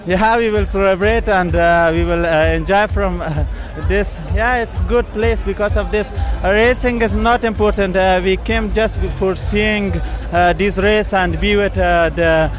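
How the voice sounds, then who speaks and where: High-voiced Afghan man speaking halting English. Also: steady tone, crowd noise. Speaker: amateur runner being interviewed. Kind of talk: halting interview in non-native English. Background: music and outdoor event sound.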